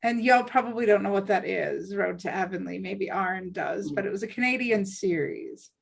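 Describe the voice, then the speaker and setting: A woman's voice: medium pitch, normal speed, gravelly, breathy edge. A woman chatting casually and conversationally in a group discussion. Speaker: table read participant. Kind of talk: casual chat.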